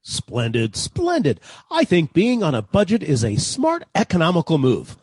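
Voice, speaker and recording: A man playing a pompous, polite gentleman suitor in 1940s radio comedy style, formal and effusive, with a husky voice. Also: a little high, huge pitch swings, moderate pace, no echo. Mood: approving. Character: stuffy, polite, well-bred gentleman suitor.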